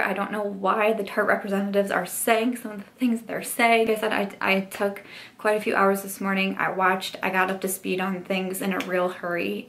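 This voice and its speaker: Earnest woman vlogger with gravelly voice. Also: mid-range voice, fairly bright tone. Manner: earnest, hesitant, many ums and restarts. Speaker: beauty influencer, vlogger. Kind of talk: candid apology vlog to camera.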